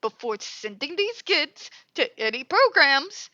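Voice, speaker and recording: A woman's voice: sits high, sing-song, slow pace, pushed and bright, dry recording, highs cut off. A congested, stuffed-up woman hosting her own commentary channel, talking bluntly and with exasperation through a headset and swearing freely. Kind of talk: ranting commentary.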